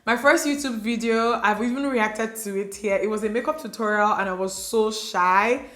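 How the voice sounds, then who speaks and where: A woman's voice: fairly high pitch, normal speed, a bit bright. Chatty Nigerian woman, roomy sound. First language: Igbo. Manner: chatty, filler-heavy, confiding. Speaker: beauty vlogger. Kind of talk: casual chat to camera.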